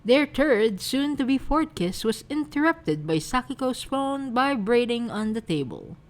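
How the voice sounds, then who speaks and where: A woman narrating a fan fiction story in a soft, unhurried way. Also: fairly high pitch, sing-song.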